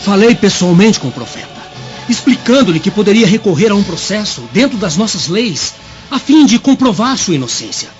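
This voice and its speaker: An actor playing a nervous, deferential aide in a radio drama, with an urgent, formal delivery. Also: male voice, sits high, sing-song, moderate pace, highs cut off, faint music behind. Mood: earnest. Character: nervous, deferential male aide to a Roman governor.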